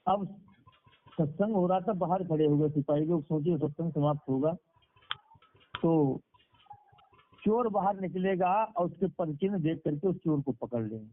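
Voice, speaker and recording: Higher-voiced male preacher on a phone line. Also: normal speed, pauses often, slight background noise. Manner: repeats phrases for emphasis. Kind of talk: devotional sermon with moral storytelling. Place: speaking over a conference call. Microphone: telephone. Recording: tinny phone conference-call line.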